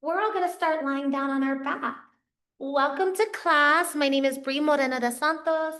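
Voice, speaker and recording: A woman yoga teacher guiding a class through slow, soothing cues, her voice a little raspy from losing it. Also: high voice, steady tone, fairly bright tone. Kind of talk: guided yoga class instruction. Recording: echoey room, voice from far off.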